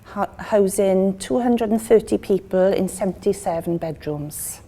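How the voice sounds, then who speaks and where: A woman in her fifties giving an interview. Her voice is mellow, with faint ambient noise behind it. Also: mid-range voice, a little slow. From Wales. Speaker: interviewee, local resident. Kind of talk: documentary interview.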